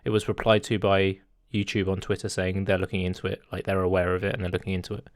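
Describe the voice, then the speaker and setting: A young man in his twenties chatting casually as a podcast host, rambling through his thoughts with plenty of ums. His voice sits on the low side and the mic is dry and close. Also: level tone, fast, dry recording. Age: 20s. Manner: rambling, lots of fillers and laughter.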